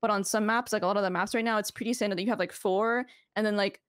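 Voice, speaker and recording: A woman who plays esports professionally, chatting in an interview with lively opinions. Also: medium pitch, fast talker. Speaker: pro esports player. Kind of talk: video call interview.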